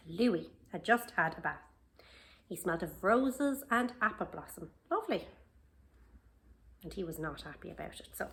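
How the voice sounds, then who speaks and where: Storytime reader: woman, highly animated pitch, expressive emphasis, questioning asides to kids, faint background noise. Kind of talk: children's picture-book read-aloud with asides to young listeners.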